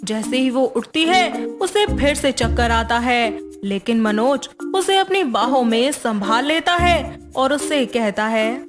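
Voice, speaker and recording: A woman narrating a scripted family story in an even, measured way, with soft music underneath. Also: high voice.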